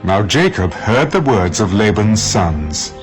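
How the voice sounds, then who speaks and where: Deep, expressive male Bible narrator. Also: slow, low-quality recording. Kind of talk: dramatized scripture narration. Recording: music behind the narration. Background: music.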